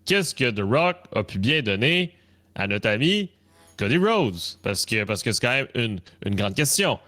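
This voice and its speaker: A man's voice: medium pitch, sing-song, a little slow, sharp tone. A man with a Canadian French accent co-hosting a casual live wrestling talk stream, often repeating words as he builds his point. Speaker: wrestling talk show co-host.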